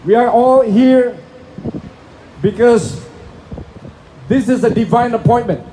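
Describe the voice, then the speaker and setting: A man with an Indonesian accent preaches and prays in broken English at an outdoor plaza rally. His voice sits very high and his pace is slow and deliberate. Faint noise runs under a phone recording. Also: dark tone, rough recording. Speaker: speaker at a rally. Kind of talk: impassioned rally speech and prayer. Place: outdoor city plaza with a crowd.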